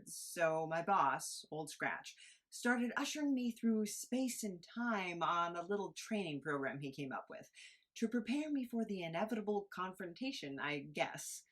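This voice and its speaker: A woman's voice: on the low side, lively intonation, measured pace, a bit bright. A woman voicing a whiny, sarcastic immortal trainee in a comic sci-fi audio drama, rambling and protesting. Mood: wry, rambling. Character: Napoleon, exasperated, whiny immortal trainee adventurer.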